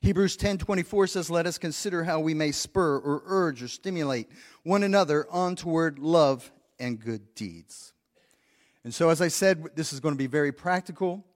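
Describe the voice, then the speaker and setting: Guy preaching in church, kinda high voice, earnest, keeps asking the crowd stuff, big echoey room. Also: moderate pace. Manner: earnest, repeats words while thinking, asks the congregation questions. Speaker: pastor. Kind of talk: practical sermon teaching. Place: church sanctuary. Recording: long church hall reverb.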